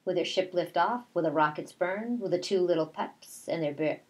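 A middle-aged woman, a kindergarten teacher, reading a picture book aloud in a deliberate, expressive way with asides to young children. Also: fairly low pitch. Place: small bedroom at home, indoors.

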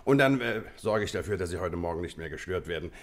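A hearty old-soldier colonel in a detective radio play. The male voice sits fairly low. He talks bluntly and emphatically, with the jovial authority of a country host. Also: animated, fast. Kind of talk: German radio play acting.

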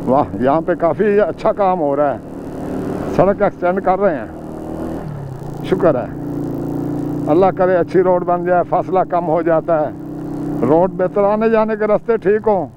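A man's voice: high voice, moderate pace, pauses often. An older man with a Pakistani Urdu accent, riding a motorcycle on mountain roads and chatting as a guide, pointing out sights and reciting short prayers. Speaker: moto vlogger. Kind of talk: casual travel commentary while riding. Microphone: helmet or bike-mounted camera mic. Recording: muffled camera audio with clear engine and wind noise.

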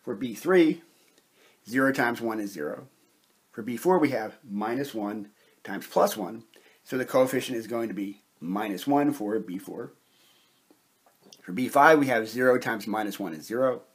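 Male lecturer, slightly rough husky tone, medium pitch, methodical step-by-step lesson delivery. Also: animated, normal speed, long pauses. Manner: methodical, explanatory. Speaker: chemistry lecturer. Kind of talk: step-by-step whiteboard lesson narration.

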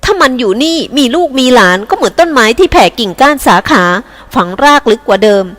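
A woman's voice: high voice, big pitch swings, fast, fairly bright tone, pitched above the performer's own voice. A woman voicing an anxious scheming mother. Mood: insistent.

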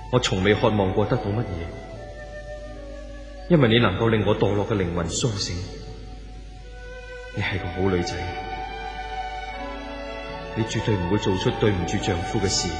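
A man's voice: on the low side, lightly acted. An actor playing a lovelorn, devoted man reading out a farewell letter in a Cantonese radio drama, with a Hong Kong accent. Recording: echoey 1970s radio drama, music underneath. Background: music.

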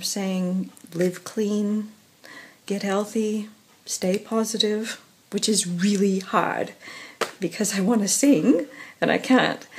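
A young woman speaks slowly into a phone held at arm's length, her weakened voice husky and breathy. She stops and starts, fills gaps with little hesitations, and lets small laughs slip in between. Her pitch sits in an ordinary middle range. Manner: halting, many fillers and pauses, soft laughs. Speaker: professional singer vlogging. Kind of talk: casual personal vlog. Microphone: phone camera at arm's length.

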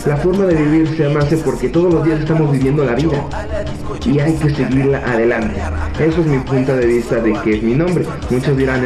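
A sixteen-year-old Mexican boy from Puebla, talking softly and hesitantly into a webcam in a small office, with many fillers. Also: medium pitch. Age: teens. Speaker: young reggaeton artist. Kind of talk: self-interview to webcam. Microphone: webcam at desk. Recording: echoey room with music behind.

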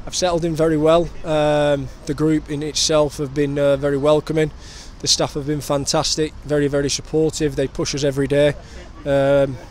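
Guy in his 30s doing a post match interview, kinda high flat voice, outdoor noise behind. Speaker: professional footballer. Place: outdoors outside a stadium shop. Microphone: handheld interview microphone. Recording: noisy outdoor street recording.

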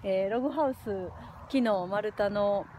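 A woman in her forties, a diet coach, chatting cheerfully to a phone camera held at arm's length outdoors on a windy, snowy mountainside, with many filler pauses. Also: mid-range voice. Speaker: diet coach and fitness instructor. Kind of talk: casual vlog to camera.

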